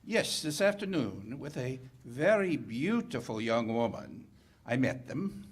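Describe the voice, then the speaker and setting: An actor playing a suave, sly French police captain in a 1940s radio play, urbane and wry. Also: male voice, medium pitch, sing-song, a little slow, husky. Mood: wry, assured.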